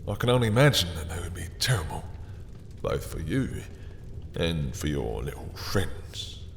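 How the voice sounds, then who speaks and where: A man voicing a possessive, sadistic male vampire lord in an audio roleplay, smooth and taunting, with soft chuckles and low whispers. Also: very animated, moderate pace, long reverb tail, a little hiss or hum, exaggerated voice. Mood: menacing.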